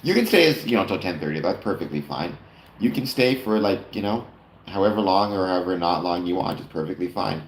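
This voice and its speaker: A man's voice: sits low, animated, a little fast, slight background noise. A man hosting a casual late-night chat stream, talking to viewers with many fillers and self-corrections, a little nasal. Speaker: live stream host. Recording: roomy echo.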